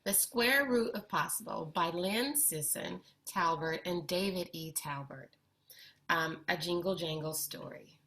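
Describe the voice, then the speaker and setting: Slow, bright-voiced woman reading a storybook. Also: on the low side, rough recording. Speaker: storyteller reading a picture book aloud. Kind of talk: read-aloud storytelling for children.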